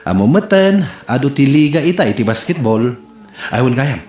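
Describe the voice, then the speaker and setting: A man's voice: mid-range voice, very animated, moderate pace, darkish tone, natural voice. A man playing a chummy, persuasive young buddy with an Ilocano accent in a comedy radio drama. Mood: cajoling, playful. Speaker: actor. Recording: echoey room.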